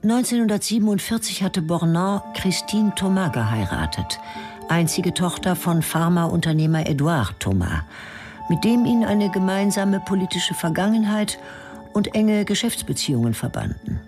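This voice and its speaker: A woman's voice: lowish voice, very animated, normal speed. Terse woman narrating a radio drama. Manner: terse, clipped, matter-of-fact. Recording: studio radio play with music.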